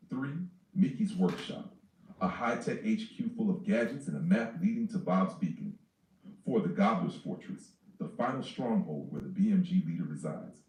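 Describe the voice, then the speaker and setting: A man reading a character and campaign sheet aloud in a flat, list-like way. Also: on the low side. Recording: distant, echoey, faint noise.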